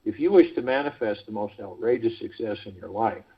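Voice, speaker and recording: A man hosting a guided meditation call, speaking in a measured, deliberate way with pauses between phrases, over a phone conference line. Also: on the low side, expressive, slightly rough. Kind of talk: guided meditation talk, reading prepared spiritual text. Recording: muffled, lo-fi conference call audio.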